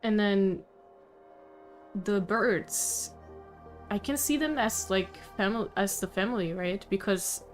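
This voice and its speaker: A woman's voice: mid-range voice, slow pace, pauses often, faint music behind. A woman streaming a game, musing aloud and reacting casually to the story.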